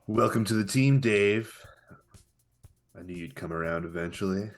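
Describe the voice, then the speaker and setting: A man's voice: fairly low pitch, normal speed, faint music behind, close to an everyday voice. A man playing a smug, pushy pickup-artist guru in a comedic table read, with a coaxing salesman manner. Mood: jovial, coaxing.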